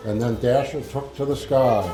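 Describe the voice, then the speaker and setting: A man reading a story aloud to children in a halting, informal manner. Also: mid-range voice, warm tone. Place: indoor library room with audience. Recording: echoey room, faint music.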